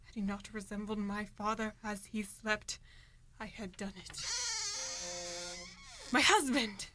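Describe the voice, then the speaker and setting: A woman's voice: mid-range voice, steady tone, slow, bright, forceful tone. A woman acting a scornful, scheming noblewoman in a Shakespearean radio play, speaking verse with commanding urgency. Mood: tense, anxious. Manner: commanding, dramatic, urgent Elizabethan verse delivery.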